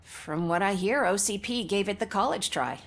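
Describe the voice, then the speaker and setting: A breezy, cynical actress character voiced by a woman chats in a video game. Her medium-pitched voice is casual and wry, with a conversational ease. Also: moderate pace, natural voice.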